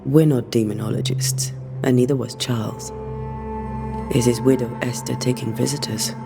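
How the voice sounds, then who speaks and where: An actor playing a terse, serious, steadfast ghost hunter from the 1690s in a video game. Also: male voice, medium pitch, steady tone, normal speed, creaky, close to an everyday voice. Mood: serious, probing. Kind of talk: dramatic video game acting. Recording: music behind the voice.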